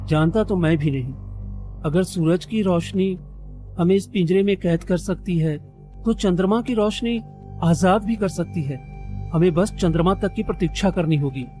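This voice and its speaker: A man's voice: high voice, moderate pace, warm tone, faint music behind, close to an everyday voice. A man voicing a brave, kind-hearted young hero in a cartoon story, earnest and emphatic in his delivery. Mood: earnest, worried. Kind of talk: Hindi cartoon story voice acting.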